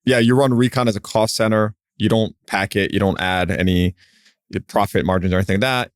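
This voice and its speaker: A man's voice: lowish voice, steady tone, fairly quick, no room sound, studio quality. A man hosting a business podcast, asking curious questions in a loose style full of fillers. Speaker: podcast host. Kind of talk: podcast interview.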